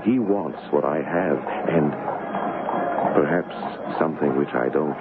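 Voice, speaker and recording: An actor playing an earnest, courteous gentleman posing as a king, in formal 1970s radio drama style. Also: extremely low pitch, a little slow. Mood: courtly, sincere. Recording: muffled 1970s radio broadcast, loud noise.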